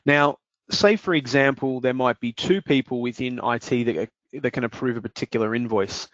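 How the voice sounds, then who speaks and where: A man demonstrating invoice software step by step in a screen-recorded walkthrough, explaining calmly with the odd "uh" and "um". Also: mid-range voice, moderate pace, no echo. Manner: explanatory, steady, with fillers. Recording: dry, dull lo-fi voice-over.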